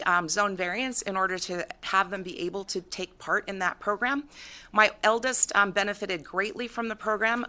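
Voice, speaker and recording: A woman testifies as a parent before lawmakers. Her voice is bright and forceful. She speaks earnestly and from personal experience. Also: medium pitch. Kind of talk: formal public testimony. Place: legislative committee hearing room.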